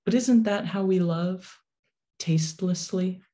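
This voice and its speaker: A woman poet reading poetry in a measured, deliberate manner over a video call. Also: on the low side, dark tone. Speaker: poet reading own work. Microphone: video call microphone.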